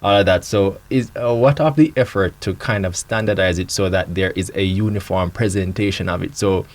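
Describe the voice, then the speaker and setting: A man's voice: low voice, brisk. Male host posing long questions. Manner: conversational, frequent back-channel agreement, long hedged questions. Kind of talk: studio panel discussion.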